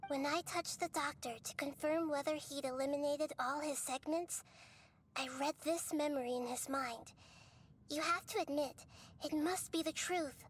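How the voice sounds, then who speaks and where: A woman voicing a gentle, wise young deity in a video game, speaking softly with measured, earnest storytelling. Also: high-pitched, big-room sound, slight background noise, lightly acted.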